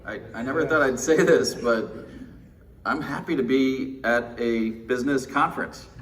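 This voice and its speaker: A man's voice: mid-range voice, normal speed, a bit bright. A middle-aged man from Texas with an American accent, giving an informal, wry keynote speech. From Houston, Texas, United States. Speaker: keynote speaker. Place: windowless indoor conference room. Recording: distant mic, faint noise, room ambience.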